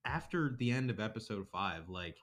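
A man speaks in a somewhat low voice as a podcast co-host. His talk is informal and slangy. He banters and gives opinions as if chatting with a friend about a show. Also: animated, normal speed, fairly bright tone. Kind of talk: casual chat reviewing a TV episode.

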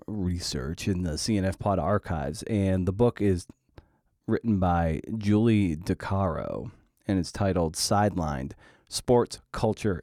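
A man hosting a podcast in a conversational interview style, often halting and repeating words as he thinks his way through. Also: deep voice, mellow, no room sound, studio quality. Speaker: podcast host.

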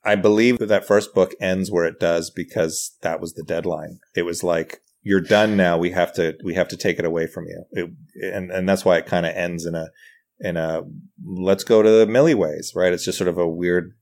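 A man chatting casually on a podcast as a writer and comedian guest, animated and self-deprecating. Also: low voice, normal speed.

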